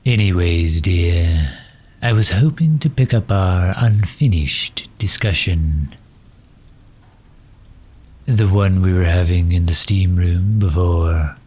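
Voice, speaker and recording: Man playing a slow, low-voiced genteel villain. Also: a little mellow. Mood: courteous, probing. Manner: formal, precise, unhurried, politely condescending. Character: genteel, erudite male villain, courteous and misunderstood. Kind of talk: ASMR audio roleplay.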